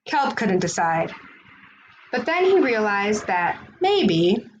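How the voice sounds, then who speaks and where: Expressive woman reading a children's picture book. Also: medium pitch, muffled recording, slight background noise. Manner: expressive storytelling with drawn-out dramatic phrasing. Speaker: read-aloud host.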